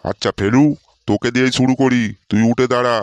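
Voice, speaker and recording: A man's voice: mid-range voice, fairly even intonation, quick pace, heavy background noise, natural voice. A man voicing a blustering, easily exasperated male quiz teacher in a comedy cartoon, scolding and exclaiming. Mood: announcing.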